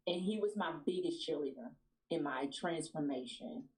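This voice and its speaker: A woman, a guest speaking in a sassy, animated way. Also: low-pitched, measured pace, poor audio. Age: 40s. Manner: sassy, animated, laughs freely. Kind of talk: casual interview chat.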